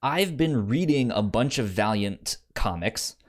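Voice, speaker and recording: A man's voice: medium pitch, animated, unhurried. A man co-hosting a podcast, chatting casually about books and often restarting and repeating his words as he goes. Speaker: podcast co-host. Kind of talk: casual book discussion.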